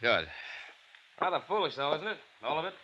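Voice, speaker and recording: An actor playing a wry, resentful young heir in an old radio drama, sardonic and well-bred. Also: male voice, fairly high pitch, big pitch swings, a little fast, sharp tone, muffled recording, full character voice. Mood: wry, hospitable. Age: 20s. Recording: noisy vintage radio recording.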